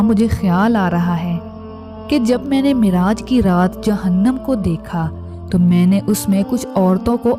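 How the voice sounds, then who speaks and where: Woman solemnly narrating over music. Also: on the low side. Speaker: narrator of religious stories. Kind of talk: scripted religious narration.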